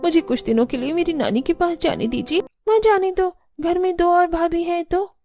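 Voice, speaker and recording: Woman acting high-pitched pleading young daughter-in-law. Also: normal speed, mellow. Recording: background music.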